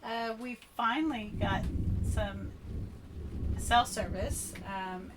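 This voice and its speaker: A woman's voice: on the high side, takes it slowly. Woman guest chatting, slightly distant recording. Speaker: podcast guest, sailor. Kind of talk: casual chat. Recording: distant mic with faint background noise.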